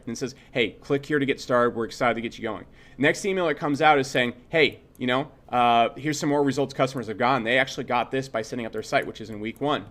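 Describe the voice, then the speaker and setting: Blunt man giving a casual software walkthrough. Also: medium pitch. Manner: blunt, opinionated, swears freely; conversational, repeats himself, tags points with "okay" and "right". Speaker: software instructor. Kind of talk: casual screen-share tutorial.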